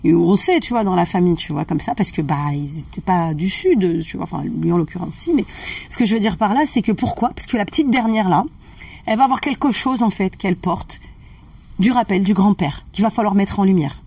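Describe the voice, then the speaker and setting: A woman's voice: mid-range voice, a little fast, vocal fry, breathy edge, dry recording, recorded from a distance. Chatty woman explaining transgenerational healing. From family of Algerian roots. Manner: animated, checks in often with the listener. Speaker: holistic family-constellation practitioner. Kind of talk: casual conversational explaining.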